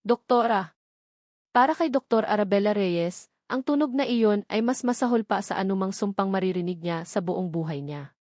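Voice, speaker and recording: A woman's voice: medium pitch, brisk, darkish tone, no room sound. Woman narrator, very dry close sound. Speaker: storyteller. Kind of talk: scripted story narration.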